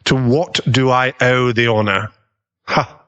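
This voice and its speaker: A man playing an earnest, kindly government agent in an audio drama, with a measured, avuncular way of talking. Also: medium pitch, fairly even intonation, a bit bright, smooth, dull audio. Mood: gently teasing.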